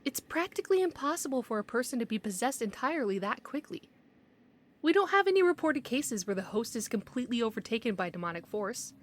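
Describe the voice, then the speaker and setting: A woman's voice: a little high, very animated, a little fast. A woman playing a skeptical, by-the-book investigator in an audio drama, speaking precisely and argumentatively. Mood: insistent. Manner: precise, argumentative, matter-of-fact. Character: skeptical, bookish young female paranormal investigator, stubborn and by-the-book.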